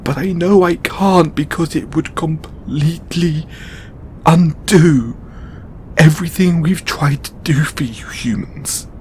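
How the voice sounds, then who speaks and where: Slow, anguished young-sounding god, acted by a man. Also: on the high side, a little mellow, a little hiss or hum. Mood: earnest, sorrowful. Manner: halting, emotional, earnest pleading. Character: kind, overburdened male god, anguished and benevolent. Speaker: audio roleplay voice actor. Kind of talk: intimate dramatic audio roleplay monologue.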